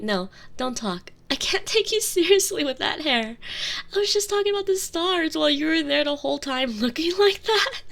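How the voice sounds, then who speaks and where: A woman playing a sweet, wry young princess in love, in an intimate, soft ASMR roleplay style. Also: sits high, creaky, full character voice. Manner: soft, tender, playful, breaking into whispers.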